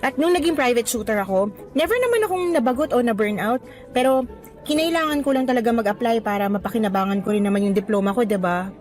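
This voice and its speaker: A woman playing an earnest, cheerful young novice teacher in a radio romance drama, chatty and expressive. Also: a little high, quick pace, soft background music, lightly acted. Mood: cheerful, slightly nervous. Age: young adult. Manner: chatty, expressive, casual Taglish.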